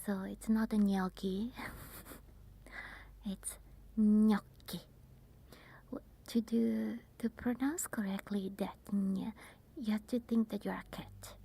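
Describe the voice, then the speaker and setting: A woman with an Italian accent, softly whispering while chatting with viewers on an ASMR live stream. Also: medium pitch, steady tone, takes it slowly, gravelly, pauses often, breathy edge. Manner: soft whispering.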